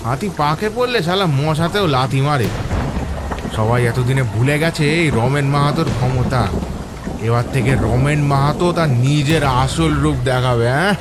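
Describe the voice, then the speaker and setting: A man playing a rough, boastful village fisherman who grumbles and swaggers in a horror audio story. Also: mid-range voice, moderate pace, lightly acted. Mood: irritated, boastful. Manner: swaggering, cursing, muttering defiantly. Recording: clear background ambience noise.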